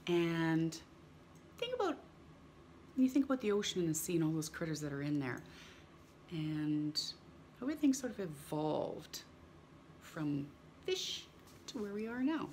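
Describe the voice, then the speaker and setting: A woman's voice: low-pitched, sing-song, unhurried, long pauses, darkish tone, slight background noise. A middle-aged woman reading a picture book aloud to young children, theatrically drawing out words and putting questions to her listeners. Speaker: storytime host reading aloud. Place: small room at home, indoors.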